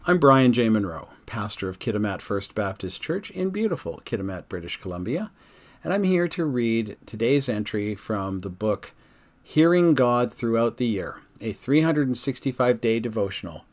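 A man speaking as a pastor, reading a devotional in a measured, earnest, formal way. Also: mid-range voice, sing-song. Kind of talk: devotional reading with reflective address to listeners. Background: background music.

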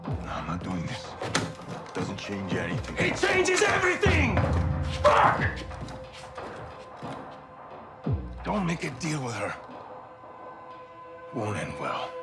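A man's voice: mid-range voice, wildly swooping pitch, unhurried, long pauses, over loud music. An actor playing a proud, hot-tempered army colonel in a game cutscene, a man with a Mexican accent, indignant and confrontational. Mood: angry. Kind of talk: cinematic game acting.

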